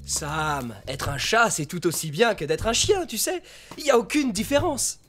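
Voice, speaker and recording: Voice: mid-range voice, sing-song, normal speed. An actor voicing an anxious, proud male fox disguised as a dog in a children's cartoon, with dramatic, exclamatory delivery. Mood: smug. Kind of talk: animated children's cartoon dub. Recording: loud music under the voice.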